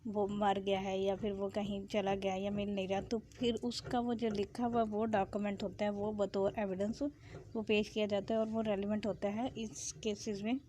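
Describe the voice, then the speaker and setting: A woman with a Pakistani accent teaches in a very even, low-variation voice with a soft, mellow tone. She explains as a lecturer does, dropping English legal terms into her sentences. A light noise sits behind her. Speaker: law lecturer.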